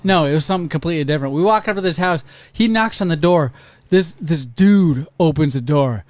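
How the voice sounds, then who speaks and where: A man in his thirties with an American accent, a podcast host telling a profane, animated story in casual chat. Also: on the high side, a little fast, no echo. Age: 30s. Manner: profane, animated storyteller who acts out quoted voices.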